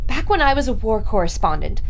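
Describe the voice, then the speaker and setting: Woman as high-voiced intrepid lady reporter. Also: big pitch swings, brisk, creaky. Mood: confident, intrigued. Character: intrepid female newspaper reporter aboard a plane, brave and observant. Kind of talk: 1930s radio adventure serial acting.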